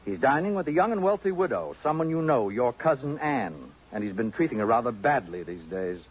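A man's voice: medium pitch, big pitch swings, fairly quick, breathy edge. An actor playing a suave, possessive, sardonic society man in a 1950s radio drama, with arch and precise pompous diction. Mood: insistent, cutting. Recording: muffled vintage radio broadcast.

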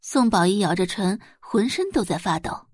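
A woman's voice: medium pitch, big pitch swings, normal speed, slightly rough, no room sound, studio quality. A woman narrating a dramatic audiobook story from a script.

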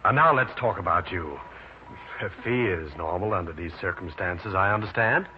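A man's voice: deep voice, animated, normal speed. A man playing a dignified, grateful, wealthy businessman who speaks formally in an old-time radio drama. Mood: relieved, formal. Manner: formal, stately. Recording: muffled old radio recording with hiss.

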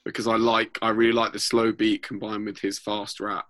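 Fairly low man, a bit rough-voiced. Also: completely flat delivery, normal speed, a bit bright, dry recording, studio quality. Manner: enthusiastic, sweary, filler-heavy. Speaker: podcast co-host. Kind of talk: casual music podcast chat.

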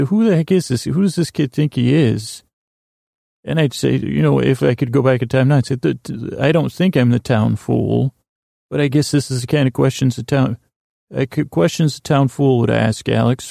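Gravelly, rambling male podcast host. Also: mid-range voice, warm tone, dry recording, clean studio sound. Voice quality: creaky, gravelly. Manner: hesitant, stammering, self-interrupting, digressive. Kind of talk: rambling, meandering solo podcast monologue.

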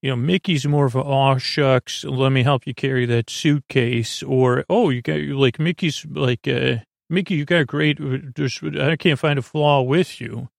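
A man hosting a sleep podcast in a meandering, halting monologue that keeps drifting into digressions and false starts. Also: mid-range voice, fairly even intonation, gravelly, no echo, studio quality. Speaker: podcast host.

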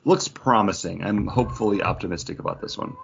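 A man's voice: on the low side, quick pace, vocal fry, a little hiss or hum. A man co-hosting a podcast, chatting casually about pop culture. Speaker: podcast co-host. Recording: dull, lo-fi audio.

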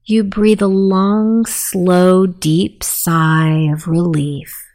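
A woman narrating a guided sleep meditation in a soothing, softly spoken, unhurried manner. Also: on the low side, fairly even intonation.

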